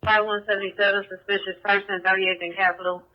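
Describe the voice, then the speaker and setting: A man's voice: high-pitched, flat delivery, quick pace. Guy on police scanner, clipped and speedy, lo-fi sound. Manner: terse. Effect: police radio. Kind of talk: clipped police radio traffic.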